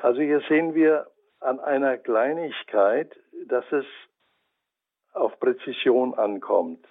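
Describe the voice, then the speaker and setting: A man with a Franconian German accent giving a measured, scholarly radio commentary, sometimes pausing to search for words. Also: medium pitch, phone-quality sound. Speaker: commentator on Bible translation. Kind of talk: reflective spoken radio essay.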